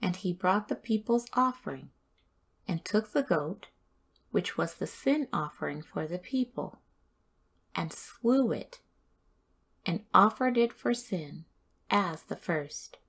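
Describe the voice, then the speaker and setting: A woman reading scripture aloud as a devotional host, in a measured, deliberate way with frequent pauses. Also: on the low side, vocal fry, husky.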